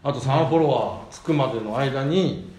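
A man's voice: mid-range voice, moderate pace, darkish tone. A man co-hosting a podcast in casual comedic chat, teasing his partner in polite but informal speech. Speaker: podcast co-host. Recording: roomy echo.

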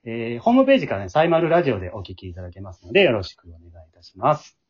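Animated male host, slightly dull audio. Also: medium pitch, rapid-fire, husky. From Japan. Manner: polite, chatty, often seeking others' views. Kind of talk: casual group radio chat.

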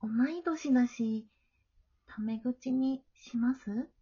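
A woman playing a gentle, studious middle-school girl in an amateur voice drama, friendly and polite. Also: high-pitched, slow, husky, lo-fi sound, heavily acted. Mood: friendly, kind.

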